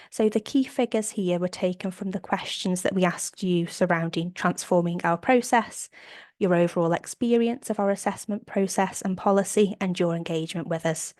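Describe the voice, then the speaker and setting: A woman with a slight cold, sounding a bit croaky, presenting survey findings on a video call in an upbeat, methodical way. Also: on the low side, a little mellow, dry recording, clean studio sound. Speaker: operations manager presenting survey data. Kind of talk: webinar slide presentation.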